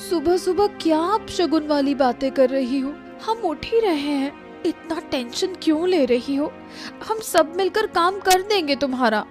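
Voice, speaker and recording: Cartoon eldest sister played by a woman: youthful, placating, dutiful, high-pitched, faint music behind. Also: expressive, a little slow. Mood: mild complaint. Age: young adult. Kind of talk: Hindi animated story dialogue.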